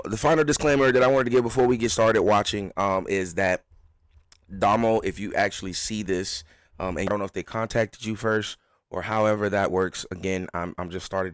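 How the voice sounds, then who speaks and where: A man's voice: deep voice, no echo, clean studio sound. A man hosting a reaction live stream, chatting in an informal, rambling, self-effacing way. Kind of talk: casual live stream chat.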